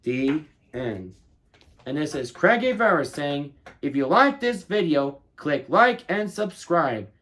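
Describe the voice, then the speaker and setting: A man in his thirties reading a children's picture book aloud expressively, leaning on key words with deliberate dramatic emphasis. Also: on the high side, sing-song, fairly bright tone. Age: 30s.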